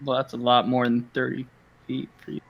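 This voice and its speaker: A man with a gravelly, creaky voice at medium pitch chats casually during a tabletop game. He speaks as one of the players. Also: monotone, fairly quick, highs cut off.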